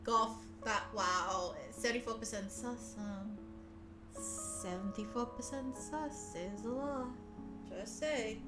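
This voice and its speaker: Female streamer, very high voice, playful and teasing, loose chatty delivery over background music. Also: slow. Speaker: livestream host. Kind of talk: casual live stream chat, teasing viewers. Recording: echoey room, music behind voice. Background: soft background music.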